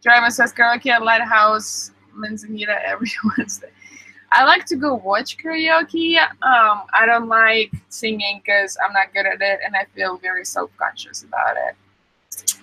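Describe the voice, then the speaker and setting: Casual young female streamer, upbeat and talkative, crisp bright voice, dry close mic. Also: a little high, steady tone, a little slow, no echo, low-quality recording. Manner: chatty, answers viewer comments with many ums. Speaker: vlogger reading live chat. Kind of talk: casual live stream chat.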